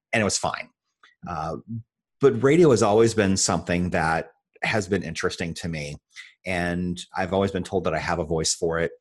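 A man's voice: deep voice, breathy edge. A middle-aged American man being interviewed, telling his story in an earnest, self-assured, emphatic conversational way. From Iowa, United States. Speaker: podcast guest, internet radio show host.

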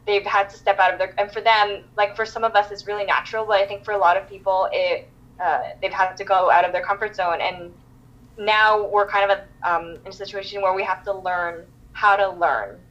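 A woman's voice: mid-range voice, level tone, fairly quick, a bit bright. Chatty woman on dull call audio. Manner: chatty, many fillers and restarts. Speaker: podcast guest, entrepreneur. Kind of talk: casual interview chat.

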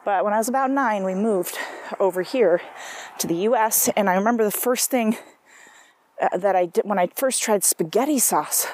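A woman's voice: mid-range voice, normal speed, a little mellow. A woman talking casually to her camera, rambling from thought to thought with many asides and restarts. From South Africa. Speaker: vlogger. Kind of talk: casual personal monologue.

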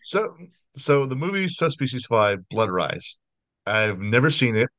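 A man's voice: medium pitch, sing-song, a little slow, a bit bright, no room sound. A man hosting a horror podcast interview over a video call, chatty and apologetic, often restarting his sentences. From United States. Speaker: podcast host.

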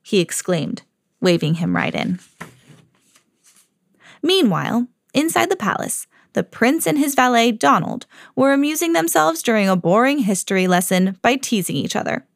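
A mother hosting her own podcast, reading a children's storybook aloud with lively jumps for the quoted lines. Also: on the high side, normal speed, creaky, no room sound, studio quality. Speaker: mom reading to her kids, podcast host.

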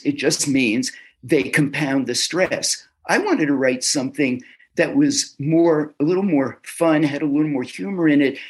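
A man being interviewed as an author, answering in a casual, halting way and often repeating words as he starts a sentence. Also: medium pitch, husky. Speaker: author guest.

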